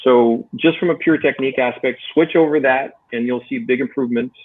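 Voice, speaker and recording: A man's voice comes through a thin, phone-like channel at a middling pitch. He talks casually and encouragingly, explaining things step by step as a judge giving feedback. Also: fairly quick. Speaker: contest judge. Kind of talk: casual spoken critique and advice.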